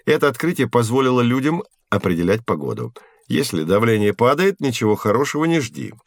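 An actor playing a patient male physics professor in an educational audio drama, explaining clearly and didactically. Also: medium pitch, sing-song, brisk, no room sound, clean studio sound. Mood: instructive.

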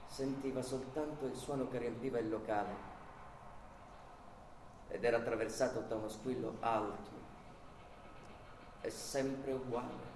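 A man giving dramatic literary narration in a radio play, with measured, incantatory phrasing. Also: medium pitch, fairly even intonation, background noise. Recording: roomy echo with music underneath.

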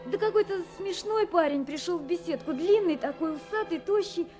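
A high, girlish young woman confides her letters in a wistful, anxious, romantic way. It is a vintage radio drama from the seventies, with music behind her tremulous voice. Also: fairly quick, a little mellow. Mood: wistful unease. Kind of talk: 1970s radio drama, reading letters aloud. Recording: 1979 radio recording with music.

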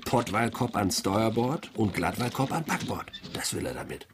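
A man acts a whaling mate in a radio play, speaking in a fairly low voice. He is blunt and inquisitive, with an uneasy edge. There is clear noise behind him. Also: expressive, moderate pace, lightly acted. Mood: uneasy curiosity. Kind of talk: radio drama acting. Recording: clearly noisy sound-effects bed.

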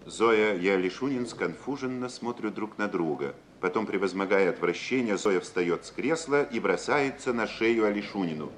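A male narrator with a fairly low voice reads scripted stage directions. His telling is measured and literary, on an old radio recording with faint hiss.

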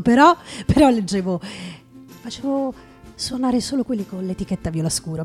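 A woman playing a world-weary, intense male writer in a theatrical radio reading, halting and repeating phrases. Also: mid-range voice, dark tone, close to an everyday voice. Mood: wistful, confiding. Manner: halting dramatic delivery with repeated phrases and pauses. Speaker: stage actress performing a literary monologue.

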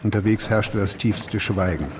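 An actor playing a sober official who recounts a king's execution in measured, matter-of-fact radio drama narration. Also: fairly low pitch, close to an everyday voice. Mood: sober, grave. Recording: long reverberant hall, faint noise.

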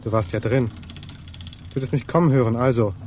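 An actor playing a terse, calculating pirate officer in a radio play, speaking in muttered fragments. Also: male voice, mid-range voice, brisk, a little mellow, lightly acted. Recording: noisy radio play ship soundscape.

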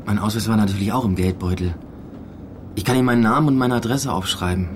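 An actor as an apologetic, lowish-voiced man. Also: fast talker, close to an everyday voice. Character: apologetic male university lecturer on a train. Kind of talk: naturalistic German radio play acting. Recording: train noise behind the voice.

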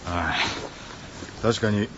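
An actor playing a gruff, rough-tongued, self-sacrificing adventurer in a radio drama, with coarse and blunt speech. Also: male voice, lowish voice, very slow, background noise. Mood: uneasy. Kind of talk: Japanese radio drama acting. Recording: dull band-limited audio under loud music.

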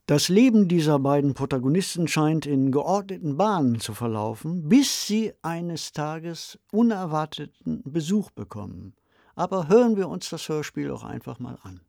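A man's voice: on the high side, sing-song, normal speed, creaky, darkish tone, dry recording. A man co-hosting a podcast, giving analytical, conversational commentary in a studio. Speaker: podcast co-host.

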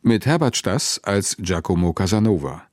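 A man's voice: sits low, animated, moderate pace, a little mellow, no echo. A man working as a radio announcer, reading scripted credits in German in a formal style.

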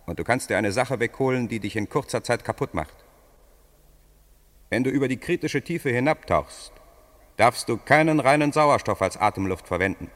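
1950s radio drama dive boss, male actor: mid pitch, instructive, stern, big reverberant space. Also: expressive, moderate pace, lightly acted. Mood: cautioning. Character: experienced diving instructor, stern, practical, warning.

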